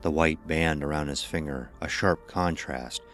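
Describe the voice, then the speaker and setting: A man's voice: a bass voice, measured pace, fairly bright tone, faint music behind. A man narrating a scripted horror story as the narrator of an audio drama.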